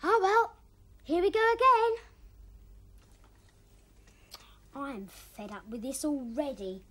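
A woman voicing a plucky, cheeky young boy in a cartoon, exclaiming brightly. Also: high-pitched, very animated, measured pace, exaggerated voice. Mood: wary. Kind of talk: cartoon voice acting.

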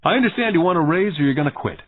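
Man voicing stern businessman mentor, calm, pointed, lecturing, husky, audiobook character, narrow muffled sound. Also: fairly high pitch, very fast, no room sound, pitched above the performer's own voice. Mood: wry. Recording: muffled, phone-like narrow band.

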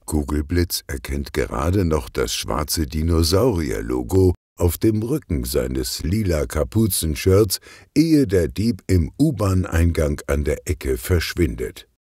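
A man narrating a children's detective story in an expressive, scripted audiobook style. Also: very deep voice, takes it slowly, dry recording, studio quality. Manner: expressive, clearly articulated storytelling.

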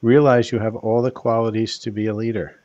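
A soft-spoken older man leads a live card reading at an easy, unhurried pace. His voice sits fairly low and stays calm and soothing throughout. He is heard close on a headset mic. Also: no echo, highs cut off. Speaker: tarot card reader.

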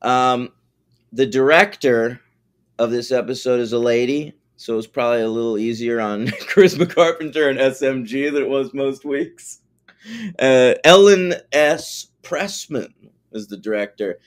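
Profane, animated podcast co-host man. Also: medium pitch, a little slow. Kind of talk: casual podcast chat.

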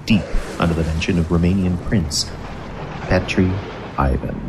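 A man's voice: low-pitched, steady tone, normal speed, lightly acted. A man playing the narrator of a gothic horror audio drama, reading scripted scene-setting lines. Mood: ominous. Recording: clearly noisy background.

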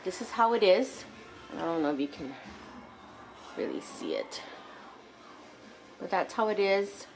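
A woman's voice: fairly low pitch, a little slow, long pauses, faint music behind, low-quality recording. Chatty middle-aged woman vlogging at home. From South Texas. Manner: chatty, relaxed, many ums and likes. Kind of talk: casual home vlog chat. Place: home living room and kitchen, indoors. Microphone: handheld camera close to face.

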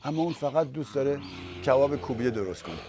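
A man voicing a sad, lonely dragon who wants a tennis partner, speaking quickly and eagerly. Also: medium pitch, expressive, background noise, voice pushed up, natural voice.

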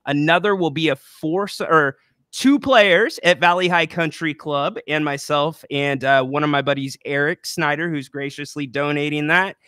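Bright, crisp young male co-host. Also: on the high side, dry recording, studio quality. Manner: upbeat, promotional, brisk play-by-play recap. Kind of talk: casual postgame sports chat. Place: small room with a plant and a banner. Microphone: podcast microphone on a boom arm.

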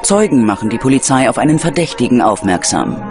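A man's voice: medium pitch, big pitch swings, fast talker. A man narrating a true-crime documentary from a script in a dramatic, suspenseful manner. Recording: prominent music under the voice. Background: music.

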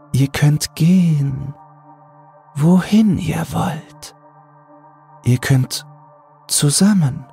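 A man voicing an eerie, benevolent, inhuman female being with slow, luring speech in a horror story. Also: a little high, warm tone, breathy edge, pitched above the performer's own voice, heavily acted.

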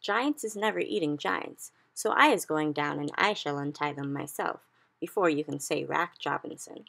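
A woman's voice: deep voice, normal speed, creaky, a bit bright, heavily acted. A woman voicing a big kindly male giant who talks in cheerful, mangled grammar. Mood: earnest. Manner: folksy, ungrammatical, exclamatory.

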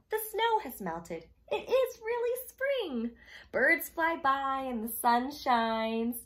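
A young woman hosts storytime for little kids, reading a picture book aloud in a high voice. Her delivery is slow and very expressive, with big swings in pitch as she speaks directly to her young listeners. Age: young adult. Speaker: storytime host reading to children. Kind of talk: animated children's picture book read-aloud. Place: small room at home, indoors.

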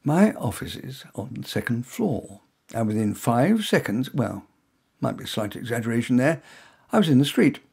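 A man's voice: medium pitch, sing-song, moderate pace, vocal fry, darkish tone, husky. A man reading his own first-person short story in a wry, self-mocking way.

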